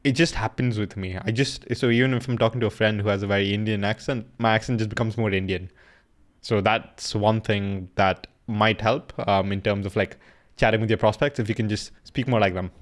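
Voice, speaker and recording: A man's voice: on the low side, steady tone, a little fast. A young man in casual commentary, coaching viewers through a recorded sales call in a loose, slangy way.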